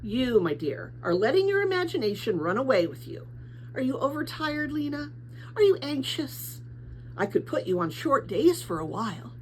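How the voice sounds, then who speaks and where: Woman voicing a kindly, condescending captain, very lively. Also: sits high, normal speed, slight background noise, voice pushed up, poor audio. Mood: soothing, condescending. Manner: soothing, patronizing, fervent. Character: kindly, skinny woman messenger captain, earnest, condescending believer.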